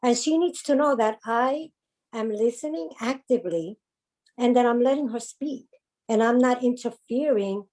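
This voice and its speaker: A woman from the South Bronx with a slight Spanish-influenced New York accent, speaking earnestly as an interview guest, pausing often with fillers and repeats. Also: on the high side, mellow. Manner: earnest, halting, with frequent ums and repeats. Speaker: domestic violence advocate, guest. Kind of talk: interview conversation.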